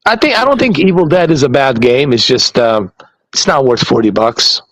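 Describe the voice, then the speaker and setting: A man's voice: medium pitch, animated, moderate pace, fairly bright tone, no room sound. A man hosting a laid-back podcast. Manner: laughs often, swears freely. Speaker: streamer and podcast host. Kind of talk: casual chat.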